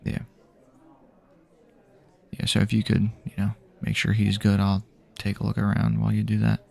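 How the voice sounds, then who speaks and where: A man speaking softly and half-whispering in a halting, casual, rambling way, improvising an immersive ASMR roleplay as an apocalypse survivor. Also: on the low side, monotone. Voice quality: breathy, half-whispered, gravelly. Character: weary friendly male apocalypse survivor guiding a companion.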